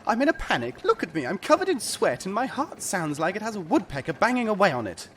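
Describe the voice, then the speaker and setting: A male actor playing a pompous, fretful rich gentleman with genteel old-fashioned phrasing in a comic audio drama. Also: high voice, huge pitch swings, fast talker, a little hiss or hum, exaggerated voice. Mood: panicked. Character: fussy, panicky rich gentleman, pompous and perfumed.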